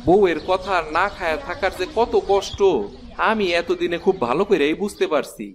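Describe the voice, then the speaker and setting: A man's voice: sits high, fairly quick, a little hiss or hum, natural voice. A man voicing an earnest, good-natured young village husband in a cartoon, with a rural Bangladeshi accent. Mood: proud, playful. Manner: folksy, eager. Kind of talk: Bengali cartoon fairy-tale acting.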